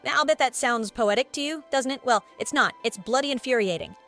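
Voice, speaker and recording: An actress playing a brisk, plain-spoken innkeeper with a British accent and a frank, chatty manner in a video game. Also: female voice, sits high, fairly bright tone, soft background music. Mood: frank, rueful. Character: brisk, plain-spoken woman innkeeper running an adventurers' guild. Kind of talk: scripted video game cutscene acting.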